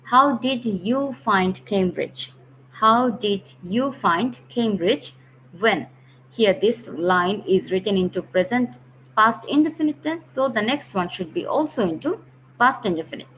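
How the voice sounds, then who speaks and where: A woman teaching a grammar lesson, patiently explaining step by step and slowing down to read example sentences. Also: medium pitch, expressive, a bit bright, a little hiss or hum, rough recording. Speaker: English teacher.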